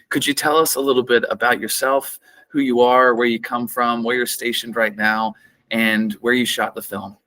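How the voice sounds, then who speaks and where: A man's voice: medium pitch, bright, forceful tone. A man, a festival director, asking a filmmaker earnest, carefully built questions. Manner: earnest, repeats words while forming questions. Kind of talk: interview questions.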